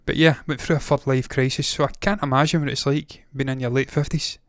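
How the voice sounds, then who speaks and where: A man's voice: mid-range voice, steady tone, a little fast, dry recording, studio quality. A man in his thirties hosting a movie news show, talking casually, with rambling opinionated asides. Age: 30s.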